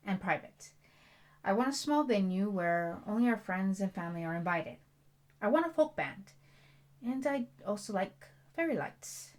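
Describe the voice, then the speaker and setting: A woman's voice: medium pitch, close to an everyday voice. Headstrong young bride-to-be, blunt and chatty woman acting. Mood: adamant, playful. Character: headstrong, stubborn bride-to-be, werewolf prince's future wife. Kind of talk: intimate ASMR roleplay dialogue.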